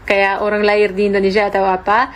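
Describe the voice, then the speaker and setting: A woman's voice: mid-range voice, completely flat delivery, quick pace. A young French woman with a French accent, explaining thoughtfully and hesitantly while she searches for words. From France. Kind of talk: casual explaining to camera.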